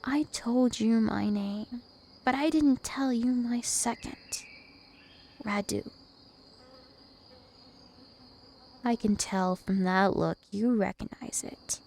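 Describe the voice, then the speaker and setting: Slow, seductive vampire princess, acted by a woman. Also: fairly high pitch, darkish tone. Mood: smug, teasing. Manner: slow, deliberate, teasing and possessive. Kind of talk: ASMR roleplay.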